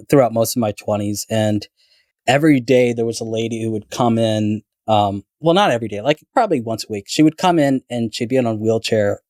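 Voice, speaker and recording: A man's voice: on the low side, darkish tone, no room sound, clean studio sound. A man born in Canada telling stories in a casual podcast chat, rambling with many fillers and restarts. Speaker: guest storyteller.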